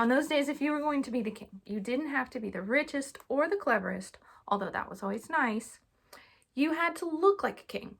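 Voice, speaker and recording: A young woman with a fairly high, husky voice and a touch of creak reads a storybook aloud. She talks casually to children and livens up the dialogue, like a parent at home. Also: a bit bright. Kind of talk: informal storybook read-aloud.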